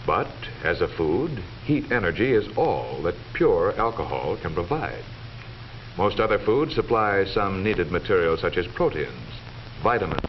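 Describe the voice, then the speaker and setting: Male narrator, American, deep and authoritative, for vintage instructional film; muffled period recording with faint noise. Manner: formal, measured, authoritative delivery. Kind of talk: 1940s scripted educational film narration.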